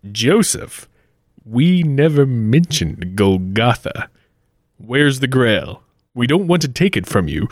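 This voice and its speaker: A man's voice: mid-range voice, sing-song, slow pace, no room sound, studio quality. A man playing an earnest, cautious time-travelling investigator with folksy politeness in a 1940s-style radio play. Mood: placating.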